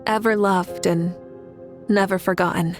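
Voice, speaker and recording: A woman playing a composed, humble young warrior ruler in a video game, calm and deliberate. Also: medium pitch, faint music behind, natural voice. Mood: calm, resolute. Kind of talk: video game character acting.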